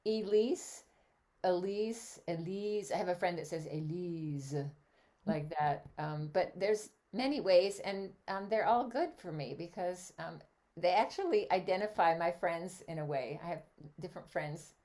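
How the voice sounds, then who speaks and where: A woman's voice: fairly low pitch, unhurried, darkish tone. An older woman born in Switzerland, a native German speaker, chatting as a guest songleader in a casual interview, rambling with many asides. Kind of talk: casual video chat interview.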